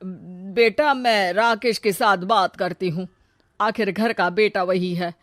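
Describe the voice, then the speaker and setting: Older mother for cartoon melodrama, played by a woman: weary, resigned, medium pitch, dry sound. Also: takes it slowly, a bit bright, no room sound. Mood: resigned, reproachful.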